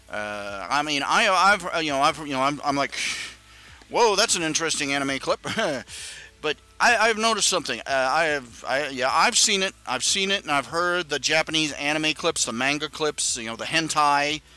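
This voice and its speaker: A man's voice: fairly high pitch, expressive, moderate pace, pushed and bright, faint music behind. A man hosting a live stream, talking in a casual rambling monologue with many stammering restarts and chuckling asides.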